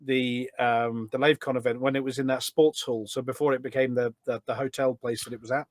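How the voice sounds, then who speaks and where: A man's voice: medium pitch, little pitch movement, brisk, dry recording. A middle-aged man chatting casually as a podcast guest, enthusiastic and full of anecdotes. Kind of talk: casual chat. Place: indoors at a desk at home.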